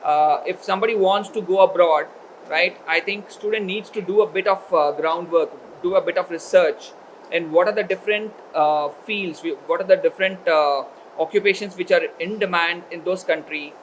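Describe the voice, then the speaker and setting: A man giving a formal welcome speech at a college event, pausing often with fillers. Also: high voice, slight background noise, poor audio. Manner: hesitant, many filler pauses. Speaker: education consultancy representative.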